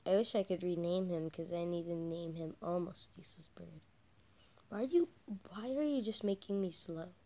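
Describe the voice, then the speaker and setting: A woman doing casual, halting game commentary as a channel host, her voice croaky from a cold. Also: on the low side, a little mellow. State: croaky with a cold, coughing and throat-clearing.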